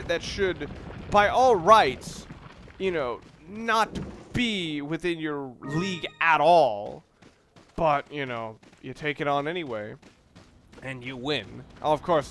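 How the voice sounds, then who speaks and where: A man's voice: fairly high pitch, fairly bright tone, a little hiss or hum. A man giving casual, rambling let's play commentary, explaining and reflecting as he plays, with game sounds behind him. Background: video game sounds.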